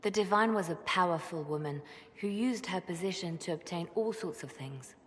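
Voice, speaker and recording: An actress playing a composed, devout, pragmatic spymaster in a video game, speaking in a measured, earnest way. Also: female voice, on the low side, close to an everyday voice. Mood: guarded, serious. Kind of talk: video game cutscene dialogue. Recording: long reverb, faint background noise.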